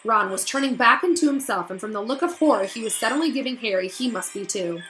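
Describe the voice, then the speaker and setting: A woman reading a storybook aloud as narrator, expressive, with casual asides to her listeners. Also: fairly high pitch, quick pace, soft background music, poor audio.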